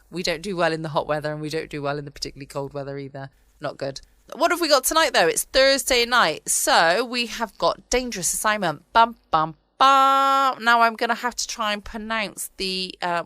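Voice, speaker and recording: A southern English woman chats casually to her bedtime podcast listeners. Her voice sits fairly low and she sounds a bit run down. The recording is dry, with little room around it. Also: bright, forceful tone, clear, resonant tone, no room sound. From United Kingdom. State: run down with a chesty cough. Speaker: podcast host. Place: at home.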